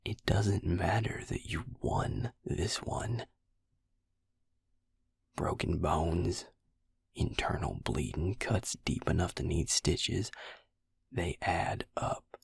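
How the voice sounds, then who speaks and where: A man acts out a protective, wry male vampire in an intimate audio roleplay. His voice is low and unhurried, sunk into a heavily fried, husky half-whisper. He sounds exasperated yet close, speaking straight to the listener in a dry, tight space. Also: no echo, full character voice. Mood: exasperated, scolding. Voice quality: low, gravelly, breathy near-whisper.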